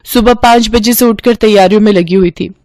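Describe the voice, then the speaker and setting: A woman's voice: mid-range voice, fairly quick, darkish tone, dry recording, natural voice. Woman doing young bahu in a moral story, sugary bossy and secretly fed up, dry close sound. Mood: sweetly eager. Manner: sweetly bossy, dramatic. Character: scheming young daughter-in-law, sugary to family, secretly resentful.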